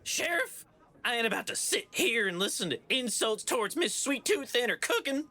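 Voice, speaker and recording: A man's voice: very high-pitched, sing-song, normal speed, over music, heavily acted. A man voicing an indignant, folksy old-west townsperson in a comedy audio drama.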